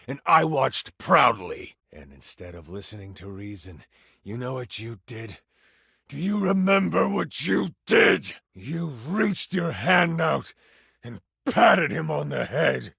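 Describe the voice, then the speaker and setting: Gravelly vengeful tiger-man villain. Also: medium pitch, no room sound, studio quality, pitched below the performer's own voice, heavily acted. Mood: outraged. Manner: grandiose dramatic monologue, swinging from menacing hush to outraged outbursts. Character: vengeful, theatrical male tiger-man villain, bitter and grandiose. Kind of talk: video game character acting.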